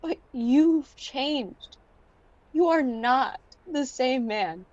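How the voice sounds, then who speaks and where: A teenage girl playing a heartbroken but resolute young fiancée in a radio play, solemn and deliberate. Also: high voice, lively intonation, darkish tone, no room sound, lo-fi sound, full character voice. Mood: sad, resolute. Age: teens. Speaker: student actor.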